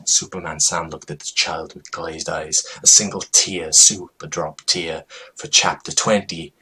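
A man with a husky voice giving a mock-dramatic, emphatic comedic reading of a story as its narrator. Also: rumbling low, fairly even intonation, unhurried, bright, forceful tone.

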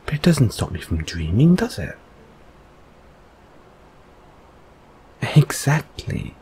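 A man playing a gentle, encouraging boyfriend in a comfort audio roleplay, speaking softly and haltingly with reassuring tag questions. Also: fairly low pitch, a little hiss or hum. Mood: wistful.

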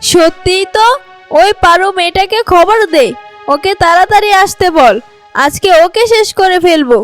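A woman voicing a spiteful, haughty female villain in an animated fairy tale. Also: extremely high pitch, normal speed, faint music behind. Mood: furious. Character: vengeful, imperious fairy-tale queen, later a spiteful greedy girl.

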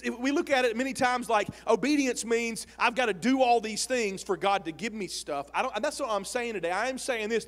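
A man in his forties preaching a sermon on a church stage into a handheld mic, animated and emphatic, rising to shouts. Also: very high-pitched. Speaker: pastor. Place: large church sanctuary stage with audience. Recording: long hall reverb.

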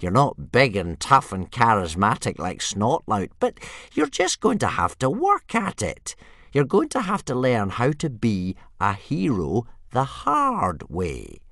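A man voicing a smug, rambling old male soothsayer with a wheezy, high-pitched voice and grand, slow pronouncements. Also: huge pitch swings, gravelly, fairly bright tone, dry recording, clean studio sound, heavily acted. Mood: grandiose.

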